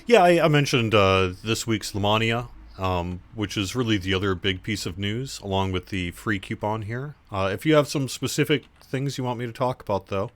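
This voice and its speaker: A man with a sore throat and cough, hosting a casual live stream, talking in a halting way with many fillers and restarts. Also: fairly low pitch. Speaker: livestream host for an online game.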